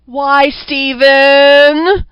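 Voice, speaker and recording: A woman voicing an eerie imitation of a wronged, anguished ex-wife in a strained falsetto for a horror story. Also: level tone, extremely slow. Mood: wailing, pleading. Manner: anguished wailing cries. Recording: roomy echo, dull lo-fi sound.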